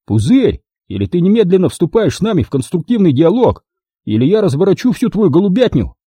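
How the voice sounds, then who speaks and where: A man's voice: high voice, sing-song, fairly quick, warm tone, breathy edge, no echo, voice pushed up, full character voice. A man voicing a foul-mouthed brawling tough who bellows and mocks in an audiobook story. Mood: mocking threat. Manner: bellowing, cursing, mocking.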